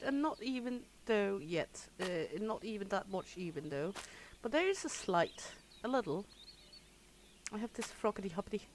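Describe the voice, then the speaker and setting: A woman's voice: fairly high pitch, a little hiss or hum. A Danish woman with a Danish accent, chatting casually to her viewers while she plays, laughing often. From Denmark. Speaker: gaming streamer. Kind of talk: casual livestream commentary.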